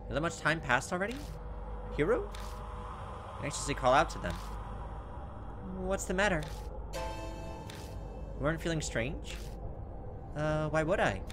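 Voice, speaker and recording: Male gamer host, casual and very expressive pitch, thinks aloud and reads on-screen text, clear music underneath. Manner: thinks out loud, exasperated asides. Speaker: gaming video host. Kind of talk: casual let's play commentary with read-aloud game text. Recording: background music behind voice. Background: video game music.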